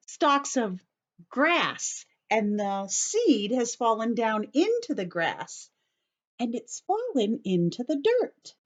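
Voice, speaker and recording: A woman leads story time for little children at an unhurried pace, her voice swinging widely in pitch. She reads from a picture book and explains it simply, like a teacher checking that they follow. The sound is slightly dull and lo-fi. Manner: animated, teacherly, asking the listeners questions.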